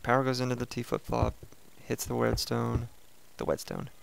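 Raspy, gravelly man chatting over gameplay. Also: medium pitch, moderate pace, slight background noise, poor audio. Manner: relaxed, chatty, thinking aloud while playing. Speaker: let's play host. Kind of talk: casual gameplay commentary.

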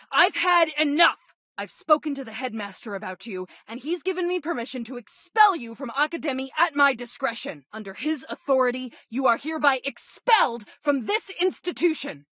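A woman voicing a strict school guidance counselor in a video game, with a formal, measured, reproachful way of talking. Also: a little high, fairly bright tone, dry recording, studio quality. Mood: furious. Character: stern female school guidance counselor, adult, strict and disappointed. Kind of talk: video game voice acting, scolding lines.

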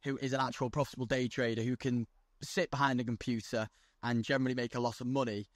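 A man's voice: medium pitch, little pitch movement, fast, dry recording, studio quality. A young man from North Wales, a guest on a video call from outdoors, talking bluntly and chattily about his trade. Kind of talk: casual video-call interview. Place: outdoors on a lawn by the coast.

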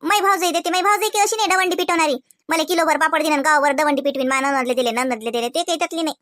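A woman's voice: high-pitched, quick pace, a bit bright, no echo, studio quality. A woman voicing a proud, grumbling elderly village mother-in-law in a cartoon, complaining colloquially with a Vidarbha Marathi accent. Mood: indignant. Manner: colloquial, complaining, rhetorical questions. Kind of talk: cartoon comedy dialogue.

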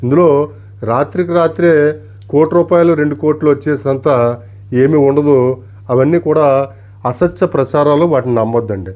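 A man giving an unscripted demonstration, explaining deliberately and politely in short, broken phrases. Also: fairly high pitch, a little mellow, dull audio. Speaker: antique radio collector and presenter.

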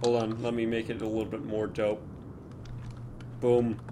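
A young man chatting casually while gaming, talking in halting bits with plenty of fillers. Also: fairly low pitch, completely flat delivery, background noise. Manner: hesitant, many fillers. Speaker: channel host gamer.

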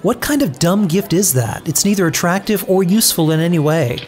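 A man's voice: high-pitched, fairly quick, a little mellow, natural voice. An actor playing a sardonic young man in an audio drama, dry and grumbling. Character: sardonic young wanderer named Simon, male.

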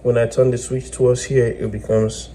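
A man explaining step by step in a halting way, as host of a hands-on electronics tutorial. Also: medium pitch, little pitch movement. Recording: clear background noise with clicks.

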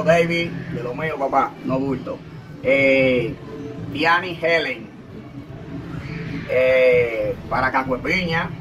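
A Dominican man in his thirties reading shout-outs to viewers off his phone, filmed outdoors by a barred window. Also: on the high side, noisy background. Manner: slow listing of names, reading off a phone. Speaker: channel host.

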